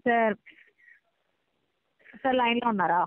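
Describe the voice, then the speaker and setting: A woman working as a loan recovery agent on a phone call, polite and persistent but halting. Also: fairly high pitch, dry recording. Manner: polite, persistent, halting, repeatedly says sir. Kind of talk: debt collection phone call. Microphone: phone line. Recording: thin, band-limited phone call recording.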